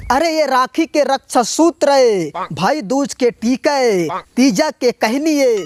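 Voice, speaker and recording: Hoarse Chhattisgarhi man, high voice. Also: slight background noise. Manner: chatty, laughs often between lines. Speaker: stand-up comedian and channel host. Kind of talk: casual stand-up comedy monologue.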